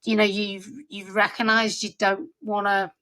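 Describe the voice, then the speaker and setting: A woman's voice: mid-range voice, flat delivery, unhurried, sharp tone. A woman with a Scouse accent hosting a podcast interview, chatty and encouraging, often restarting her sentences. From Liverpool area. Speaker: podcast host.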